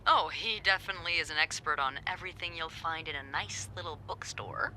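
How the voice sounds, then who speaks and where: A woman's voice: sits low, fairly quick, pushed and bright, slight background noise, rough recording. A woman playing a young hotel receptionist, dry, teasing and knowing. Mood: wry. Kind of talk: video game voice acting.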